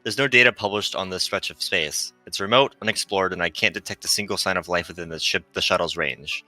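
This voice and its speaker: A man voicing a gentle, earnest female android ship pilot in formal, precise speech for a visual novel read-aloud. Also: low voice, a little fast, dry recording, faint music behind. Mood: businesslike.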